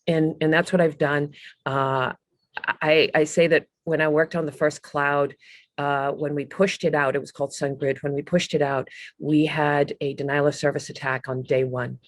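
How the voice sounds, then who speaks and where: A middle-aged woman from Ireland, a guest telling her career story in a casual, animated way on a video call. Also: sits low, moderate pace, no echo. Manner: lively, animated storyteller with frequent ums and restarts. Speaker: guest, business executive. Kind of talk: casual interview talk.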